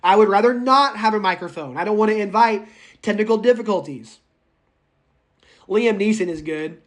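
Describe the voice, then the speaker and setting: A raspy-voiced male public speaking instructor lecturing a live online class, speaking loudly without a microphone, chatty and prone to tangents. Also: sits high, moderate pace. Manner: speaks loudly, projecting as if shouting; enthusiastic, goes off on tangents and laughs. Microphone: no microphone, voice caught by the room recorder.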